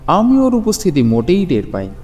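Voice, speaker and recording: A man playing a calm, eerie ghost in an audio horror drama, speaking in measured phrases with ominous pauses. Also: high voice, soft background music. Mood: slow, haunted recollection. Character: calm, eerie male ghost avenging his own murder. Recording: long hall-like reverb.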